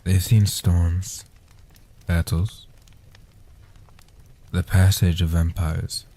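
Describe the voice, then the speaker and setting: Man voicing a deep, husky, gentle vampire guardian. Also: little pitch movement, full character voice. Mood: gentle, reassuring. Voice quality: soft-spoken, husky. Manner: slow, hushed delivery with long pauses. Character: centuries-old male guardian vampire, gentle, protective, patient. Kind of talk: soft-spoken comfort roleplay audio.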